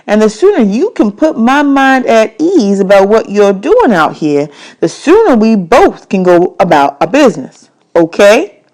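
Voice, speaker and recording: A woman voicing a friendly, teasing, slightly nervous man in a children's novel read aloud, with drawn-out, folksy, emphatic phrasing. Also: medium pitch, very animated, a little mellow, pitched above the performer's own voice. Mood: uneasy, earnest.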